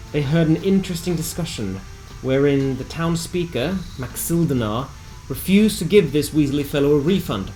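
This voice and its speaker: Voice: mid-range voice, a little mellow, poor audio. A narrator reading a scripted recap of a tabletop fantasy game in a theatrical storytelling manner with wry asides. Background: music.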